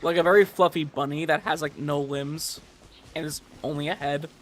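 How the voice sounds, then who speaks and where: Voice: mid-range voice, steady tone, moderate pace, a bit bright, slight background noise. A streamer giving casual, rambling and emphatic commentary while gaming. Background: game music.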